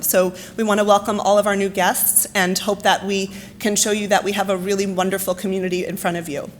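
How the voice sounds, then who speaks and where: A woman with a hoarse, fading voice, giving friendly opening remarks at a conference with lots of ums. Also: medium pitch, a little hiss or hum. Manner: chatty, many ums, friendly housekeeping tone. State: hoarse, losing her voice. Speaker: foundation science officer introducing the keynote. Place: hotel ballroom.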